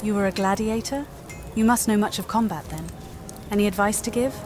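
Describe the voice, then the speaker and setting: A woman's voice: mid-range voice, steady tone, fairly quick, a little mellow, faint music behind, close to an everyday voice. Woman acting a poised young Roman noblewoman. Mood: curious. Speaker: protagonist of a role-playing game. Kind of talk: scripted game dialogue.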